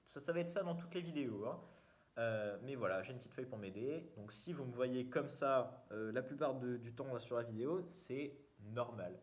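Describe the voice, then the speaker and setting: A man's voice: mid-range voice, normal speed. A young man, hosting a casual vlog in a rambling, jokey way, full of fillers and restarts. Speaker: YouTuber. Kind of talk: casual self-mocking vlog.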